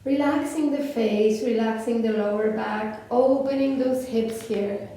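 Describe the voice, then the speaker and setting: A woman with a Polish accent gives slow, soothing yoga cues with long pauses in a mellow, unhurried voice. She sits well back from the mic in a very echoey room, with a faint hiss underneath. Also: a little high, distant mic. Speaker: yoga instructor. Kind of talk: guided yoga class instruction.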